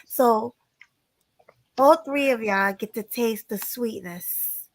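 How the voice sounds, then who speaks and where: A woman hosting a casual live stream panel, her voice a little hoarse from a sore throat. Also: on the high side, takes it slowly, dry recording.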